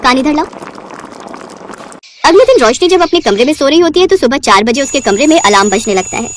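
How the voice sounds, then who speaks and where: A woman's voice: sits high, animated, quick pace, a little hiss or hum. A woman narrating a scripted cartoon story.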